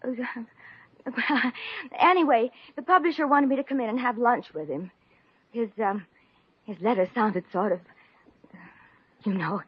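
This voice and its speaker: Mousy young amateur writer, husky yet highish, coy and hesitant, earnest, vintage radio comedy. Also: female voice, unhurried, pauses often. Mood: coy, secretive. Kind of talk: 1950s radio comedy acting. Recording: dull old-time radio recording.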